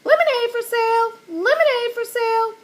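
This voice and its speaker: A woman voicing a chattering pet parrot that repeats each phrase twice in a shrill squawk. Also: moderate pace.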